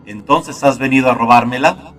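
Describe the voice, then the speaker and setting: A man's voice: mid-range voice, moderate pace, far from the mic, soft background music, close to an everyday voice. A man voicing a cruel, intimidating male murderer in a horror audio story. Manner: intimidating, shouting when alarmed.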